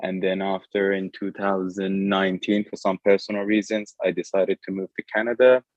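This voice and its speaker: A man in his thirties from Iran speaks with a very low, deep voice. He runs through his career as a dentist in brisk, matter-of-fact steps over dry, muffled video call audio. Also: level tone, dry recording. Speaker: foreign-trained dentist, guest speaker. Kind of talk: casual self-introduction.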